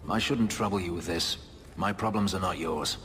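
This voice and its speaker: An actor playing a brooding, guarded elf who escaped slavery, speaking in a measured, formal, wryly understated way in a video game. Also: male voice, fairly bright tone. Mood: bitter, pained. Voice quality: deep, low voice. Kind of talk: dramatic video game acting. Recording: long reverb, music behind.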